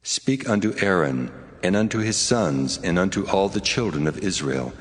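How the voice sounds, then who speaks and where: A man performing the Lord in a dramatized scripture drama, with a very low, solemn, commanding voice. His measured proclamation rings out with a long echoing reverb. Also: lively intonation. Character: the Lord, a commanding divine male voice.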